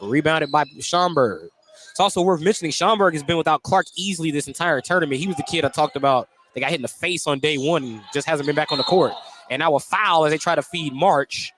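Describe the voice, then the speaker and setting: A man's voice: a little high, a little fast, a bit bright, a little hiss or hum. A man doing live play-by-play basketball commentary on the radio in a jokey manner. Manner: jokey, often chuckling. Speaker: play-by-play announcer.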